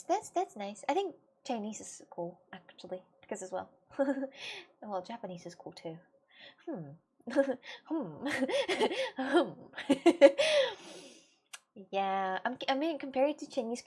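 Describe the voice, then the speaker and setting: A woman's voice: a little high, warm tone, slightly rough. Giggly rambling woman. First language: Russian. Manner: giggly, rambling, many fillers and restarts. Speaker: streamer chatting with viewers. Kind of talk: casual live-stream chat.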